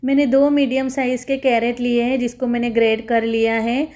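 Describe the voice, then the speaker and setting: Woman doing a cooking voiceover, kinda high voice, walks you thru each step. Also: flat delivery, brisk, darkish tone. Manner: instructional, addresses viewers as friends. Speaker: home cook presenting a recipe. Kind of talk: recipe voice-over narration, step by step.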